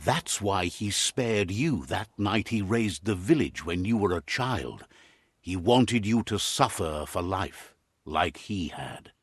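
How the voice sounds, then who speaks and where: Give this a wry, wise storyteller a husky, lowish voice. The delivery is measured and theatrical, like a storybook fable in a video game, and the pitch rises and falls expressively. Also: male voice, lively intonation, no echo, full character voice. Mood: grave, ominous. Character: wry, wise male storyteller translating fable creatures' speech. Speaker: narrator.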